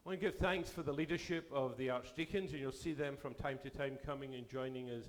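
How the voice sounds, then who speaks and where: Bishop addressing a synod, voice going. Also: male voice, mid-range voice, steady tone, quick pace. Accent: Canadian. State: losing his voice. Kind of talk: formal address to a church assembly. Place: church assembly hall.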